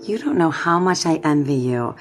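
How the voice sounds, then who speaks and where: A friendly, kindly woman in a radio drama. She talks gently and conversationally in a slightly low voice, with faint music behind her. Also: expressive, normal speed. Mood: cheerful, chatty. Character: friendly, chatty young woman, kindly and puzzled.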